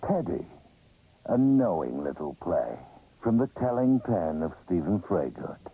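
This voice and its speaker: A sinister horror host speaks low and slowly in a gravelly, husky voice. His knowing, ominous lines swing in pitch, like scripted old radio narration. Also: very animated, slight background noise. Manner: deliberate, ominous. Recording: slightly dull old radio recording.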